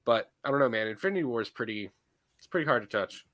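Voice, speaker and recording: A man co-hosting a casual movie podcast, a little stuffy from allergies, animated and quick to laugh, often restarting his sentences. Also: mid-range voice. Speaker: podcast co-host. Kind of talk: casual chat.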